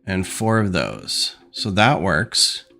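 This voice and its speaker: A man's voice: deep voice, sing-song, slow, gravelly, pushed and bright, faint music behind. Stuffy-nosed man rambling on a stream. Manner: rambling, thinking aloud with many ums. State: stuffed-up nose. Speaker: LEGO designer streaming. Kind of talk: casual livestream chat. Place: home desk. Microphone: desk microphone.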